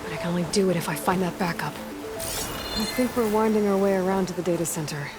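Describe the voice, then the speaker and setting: An actress playing a wary, resolute young outcast huntress in a video game, speaking tersely and watchfully, often as if thinking aloud. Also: female voice, lowish voice, fairly quick, lightly acted. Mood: serious, resolute. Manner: terse, watchful, often muttering thoughts to herself. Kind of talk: naturalistic video game acting. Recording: game soundtrack music behind the voice.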